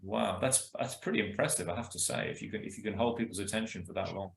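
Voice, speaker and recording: A man hosting an interview, asking questions hesitantly and trailing off quietly. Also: lowish voice, fast, creaky, echoey room, a little hiss or hum. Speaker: host. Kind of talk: casual interview.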